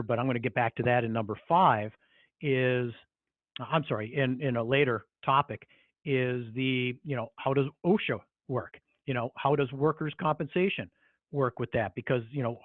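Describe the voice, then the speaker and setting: A man's voice: mid-range voice, no room sound. A man presenting informally on a business conference call, talking in a hesitant, rambling, conversational way. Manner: hesitant, rambling, conversational with frequent "you know". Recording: tinny phone-line conference call.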